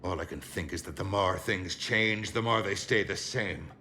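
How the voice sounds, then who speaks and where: A very deep, forceful voice for a proud older statesman in a game. He speaks formally and with measured rhetoric, stern and resolute, over a faint background noise. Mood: grave, bitter. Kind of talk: video game dialogue.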